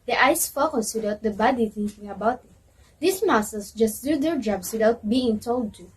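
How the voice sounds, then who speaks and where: A woman's voice: fairly high pitch, normal speed, husky, low-quality recording. A woman playing a proud muscular system that testifies and explains its importance in an amateur educational reader's theater play. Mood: boastful, explanatory.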